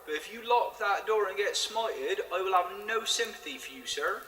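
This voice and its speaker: Superstitious rustic villager male actor, audio drama: bright, high voice, grudging and admonishing, heard in echoing church reverb. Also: lively intonation, moderate pace. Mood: stern warning. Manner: rustic, plain-spoken, admonishing. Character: superstitious, God-fearing village man, stern and grudging. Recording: echoey reverberant church, faint noise.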